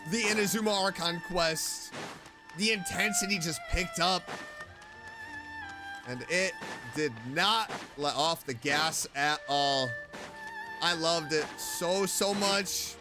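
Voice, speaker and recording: A streamer giving casual commentary while playing a game, excitable and joking, chatting back at the characters. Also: medium pitch, slow, poor audio. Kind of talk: casual let's-play commentary. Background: game music.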